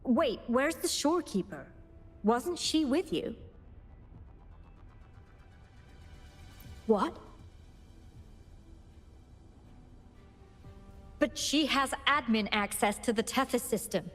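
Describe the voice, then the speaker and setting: A video game research leader, a woman with a somewhat high voice. She is earnest, determined and articulate, used to giving orders. Faint music plays behind her. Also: animated, measured pace, fairly bright tone, heavily acted. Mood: alarmed. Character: authoritative scientist woman leading a research team, earnest and determined. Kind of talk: scripted video game voice acting.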